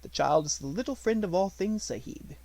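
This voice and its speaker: A man voicing a devoted, respectful Indian manservant in a storybook, speaking in formal, poetic, measured phrases. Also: high-pitched, lively intonation, vocal fry, darkish tone, pitched above the performer's own voice, full character voice. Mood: reverent, tender.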